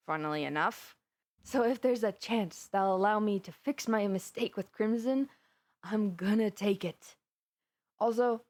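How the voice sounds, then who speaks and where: A woman's voice: medium pitch, dry recording. A woman playing a flustered, lovestruck supervillainess in a roleplay audio drama, chatting intimately and dramatically to her best friend. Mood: excited, hopeful. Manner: chatty, dramatic, playfully indignant, with sighs and gasps. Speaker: voice actor.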